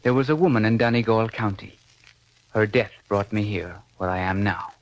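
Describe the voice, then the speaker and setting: An actor playing a slight Irish-born killer who relies on a blade, speaking in a cold, confessional way. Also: male voice, on the low side, moderate pace, dull audio, lightly acted. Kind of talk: 1950s radio drama acting.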